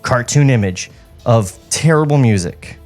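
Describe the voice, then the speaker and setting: A man's voice: medium pitch, lively intonation, a little slow, darkish tone, soft background music. A man hosting a podcast, reading stage directions as comic narration and dropping in wry asides.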